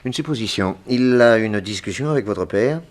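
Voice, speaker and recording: A calm detective with a low voice, played by a man in a 1960s French radio play. He questions methodically, deliberate and courteous, always probing. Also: lightly acted. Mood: reasoning.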